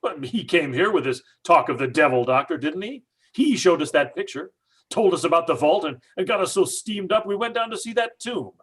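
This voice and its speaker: A man acting as a stubborn middle-aged father in an old-time radio chiller. His voice is fairly high and husky, swinging widely as he blusters and argues that it is all a hoax. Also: expressive, a little fast, a bit bright. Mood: accusing, certain. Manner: blustering, combative. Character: stubborn, skeptical father, angrily sure of a hoax.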